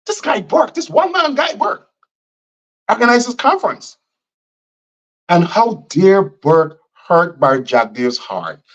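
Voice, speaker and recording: A man's voice: high-pitched, big pitch swings, slow, long pauses, dull audio. A man from Guyana, delivering combative political commentary and repeating phrases for emphasis.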